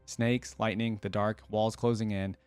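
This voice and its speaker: A man's voice: lowish voice, flat delivery, measured pace, a little mellow, smooth, dry recording, soft background music. A man hosting a reaction video, talking casually and reading a story aloud.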